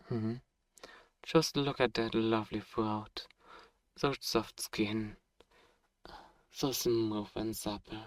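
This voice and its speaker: A man's voice: lowish voice, no echo. A man playing a centuries-old, possessive, dominant vampire in an ASMR roleplay, speaking in formal, condescending, menacing phrases on a close microphone. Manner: silky, menacing, condescending, drawn-out formal phrasing with pet names. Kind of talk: ASMR roleplay audio drama. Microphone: close microphone, dry.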